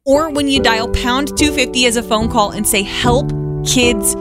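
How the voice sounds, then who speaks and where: A woman's voice: on the high side, a little slow, loud music behind the voice. A woman hosting a radio fundraising appeal, urging listeners in a persuasive, urgent way. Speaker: radio host.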